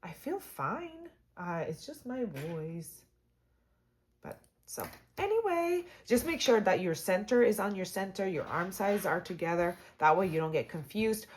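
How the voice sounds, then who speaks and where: A woman hosting a sewing tutorial, chatty and upbeat with lots of fillers, her voice hoarse and cutting in and out. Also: mid-range voice, big pitch swings, a little slow, a little hiss or hum. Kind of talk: casual how-to demonstration.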